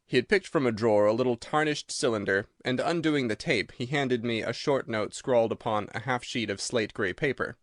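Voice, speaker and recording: A man narrating a classic story from a script in a measured, literary storytelling manner. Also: medium pitch, dry recording, clean studio sound. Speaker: audiobook narrator.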